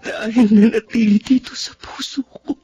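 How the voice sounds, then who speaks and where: Casting: lovesick young man with a secret, high voice, anguished radio melodrama delivery. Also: steady tone, moderate pace, mellow, highs cut off, faint music behind. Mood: anguished, tearful. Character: lovesick young man hiding his love for his male friend. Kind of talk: Tagalog radio drama acting.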